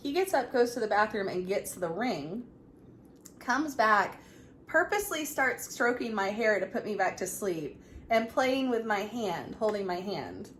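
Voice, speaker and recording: A woman in her thirties telling a personal story in a casual, chatty, animated way. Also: medium pitch, a little slow. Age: 30s. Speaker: vlog co-host. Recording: clearly noisy room ambience.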